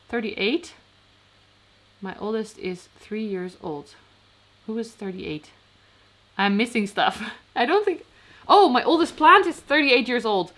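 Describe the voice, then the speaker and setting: A woman's voice: medium pitch, a bit bright. A Dutch woman in her thirties, chatting casually on a livestream as she answers comments, rambling, with frequent fillers and restarts. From Netherlands. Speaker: vlogger.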